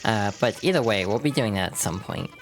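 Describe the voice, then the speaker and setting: A VTuber streamer with a hoarse, froggy voice from allergies, chatting casually while playing a game and laughing at their own slips. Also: mid-range voice, animated, fairly quick, soft background music. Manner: chatty and self-deprecating, many fillers, laughs through words.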